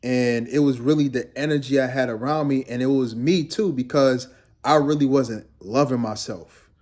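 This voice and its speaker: A man's voice: mid-range voice, moderate pace, a little mellow. A young man from Guyana, a fitness trainer, talking casually and rambling to his viewers in a laid-back vlog.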